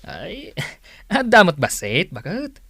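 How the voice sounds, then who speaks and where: Animated, easygoing husband, dryly recorded. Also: male voice, sits high, slow, gravelly, slightly rough, no room sound, exaggerated voice. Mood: indulgent, easygoing. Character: easygoing, indulgent husband, curious and mildly surprised. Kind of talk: Ilocano radio drama acting.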